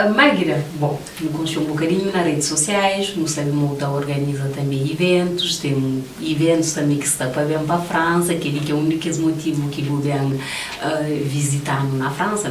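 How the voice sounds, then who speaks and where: A woman hosting a casual, conversational interview and putting questions to a guest. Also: sits low. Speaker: interviewer, host. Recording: roomy, distant mic, faint background noise.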